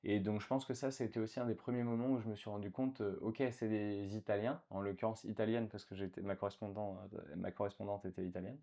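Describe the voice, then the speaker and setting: A man's voice: lowish voice, fairly even intonation, fast, few pauses, dark tone. Hesitant man in a casual interview. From France. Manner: hesitant, many fillers and restarts. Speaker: interview guest.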